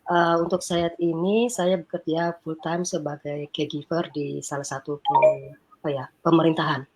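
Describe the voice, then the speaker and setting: A woman's voice: deep voice, flat delivery, moderate pace, a little mellow, slight background noise. A woman from Jakarta, a guest introducing herself briefly with hesitations. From Jakarta, Indonesia.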